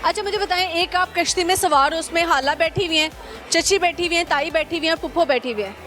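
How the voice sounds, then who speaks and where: Very high-pitched Pakistani woman interviewer, teasing. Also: fast talker, sharp tone. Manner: playful, teasing, echoes answers back as questions. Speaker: street interview host. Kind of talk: casual, bantering vox-pop interview. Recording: music and crowd chatter behind the voice.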